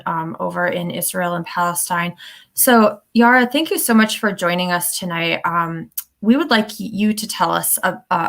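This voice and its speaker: Woman interviewer, low gravelly tone, introduces guest. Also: a bit bright. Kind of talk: interview hosting.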